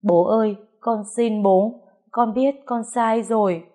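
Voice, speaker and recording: A woman's voice: fairly high pitch, takes it slowly, dark tone, pitched above the performer's own voice. A woman voicing a grief-stricken young daughter who cries and pleads. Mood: pleading. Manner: crying, pleading. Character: desperate grieving teenage girl.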